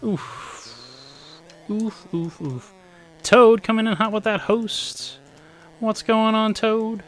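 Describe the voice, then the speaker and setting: Upbeat male streamer around 40, voice a touch hoarse and pitched on the high side; live gameplay chatter. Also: animated, drawn-out words, fairly bright tone, a little hiss or hum. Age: 40s. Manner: upbeat, chatty, thanks viewers while concentrating. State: slightly hoarse. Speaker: speedrun streamer.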